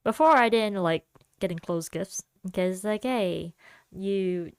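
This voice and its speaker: Slow, giggly young woman chatting solo. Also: medium pitch, smooth, dry recording. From United States. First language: Vietnamese. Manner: giggly, rambling, many fillers. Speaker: podcast host. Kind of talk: casual solo chat.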